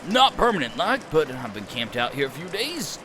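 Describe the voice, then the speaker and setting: A man's voice: on the high side, big pitch swings, moderate pace, background noise, voice pushed down. A man voicing a cheerful, folksy male hobo with an easygoing country drawl.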